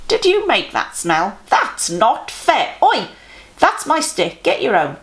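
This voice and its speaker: A woman's voice: fairly high pitch, huge pitch swings, slow, voice pushed up, heavily acted. A woman voicing noisy, indignant little children squabbling in a storybook. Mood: whiny squabbling. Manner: whiny, indignant.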